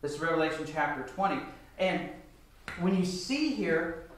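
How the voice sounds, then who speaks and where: A man's voice: on the high side, animated, normal speed, slight background noise. A middle-aged man giving a Bible lecture to a congregation, posing rhetorical questions and answering them himself. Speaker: church lecturer. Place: large church meeting room, indoors. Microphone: picked up from a distance. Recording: echoey hall, distant microphone.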